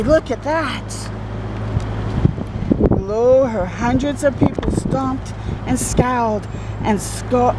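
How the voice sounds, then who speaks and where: Unhurried woman reading a children's story. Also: fairly high pitch, heavy background noise. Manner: expressive, addresses the listener directly. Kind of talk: read-aloud storytelling with asides to young listeners.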